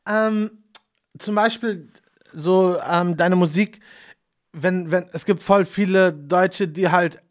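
A man's voice: high voice, fairly even intonation, takes it slowly. A man hosting a casual podcast interview, chatting loosely with lots of fillers and small self-deprecating jokes. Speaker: podcast host.

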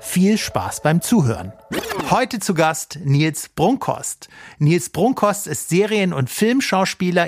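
A man hosting a podcast interview, introducing his guest and prompting him with quick, eager interjections. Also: fairly high pitch, no echo, studio quality. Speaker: podcast host.

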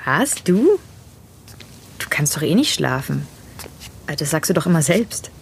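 A woman's voice: deep voice, sing-song, measured pace, full character voice. An actress in an audio drama playing a curious, chatty and caring woman. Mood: curious, familiar. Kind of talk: German audio drama acting. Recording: clear background noise, clicks.

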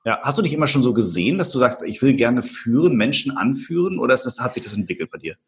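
A man's voice: medium pitch, very animated, quick pace, slightly rough. A man hosting a podcast interview, speaking hesitantly with many fillers and enthusiastic reactions. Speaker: podcast host.

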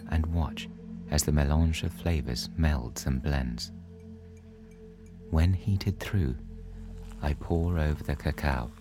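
A man narrating a scripted bedtime sleep story in a soothing, hushed, unhurried storytelling manner. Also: extremely low pitch. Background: soft relaxation music.

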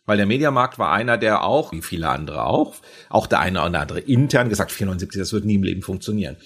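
A man's voice: lowish voice, breathy edge. A man in a casual podcast discussion, telling long anecdotes and rambling explanations. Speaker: podcast guest, former industry insider.

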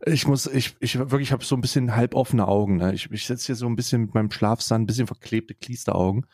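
A man's voice: mid-range voice, quick pace, darkish tone, breathy edge, no echo, studio quality. A man in casual comedic podcast banter, rambling and repeating phrases mockingly. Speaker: podcast co-host.

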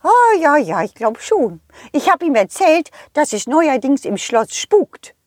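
A woman voicing a small, excitable male bird who peeps and stammers in a children's audio play. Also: sits high, unhurried, no room sound, clean studio sound, pitched above the performer's own voice. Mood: excited. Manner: chirpy, interjects peeps and stammers.

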